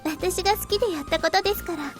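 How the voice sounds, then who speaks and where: A woman voicing a polite, big-sisterly young maid who looks like a teenager, gently chiding in a Japanese visual novel. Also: very high voice, very fast. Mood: earnest, friendly. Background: music.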